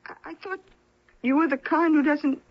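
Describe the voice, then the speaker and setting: A woman's voice: sits high, fairly even intonation, moderate pace, natural voice. A woman playing a timid, anxious female companion in an old-time radio drama, hesitant and questioning. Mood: nervous, questioning. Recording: dull, noisy old radio recording.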